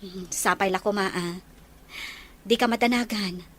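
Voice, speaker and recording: A woman's voice: mid-range voice, a little slow, a bit bright, slight background noise, natural voice. A woman playing a kind, sympathetic older sister in an audio drama. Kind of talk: Ilocano audio drama acting.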